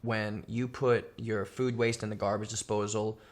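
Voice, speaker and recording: A man's voice: on the low side, flat delivery, moderate pace. A man answering interview questions methodically, laying out points one by one with many fillers. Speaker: guest, company founder.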